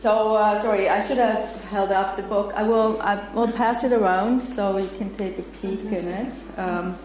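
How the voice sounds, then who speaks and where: A woman's voice: medium pitch, fairly even intonation, moderate pace, darkish tone. A middle-aged woman whose first language is German, giving an academic lecture in English and reading parts from her paper. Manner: laughs easily and chats with the audience between read passages. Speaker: guest lecturer. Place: bright lecture room with projector screen, indoors. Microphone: far camera, room mic. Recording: echoey room with faint noise.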